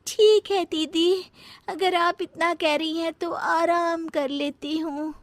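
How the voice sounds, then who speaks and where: A woman's voice: very high-pitched, steady tone, takes it slowly, no echo. A woman playing a lazy, scheming young daughter-in-law in a cartoon family story, whiny and dramatic. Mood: falsely meek. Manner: whiny, put-upon, dramatic complaining.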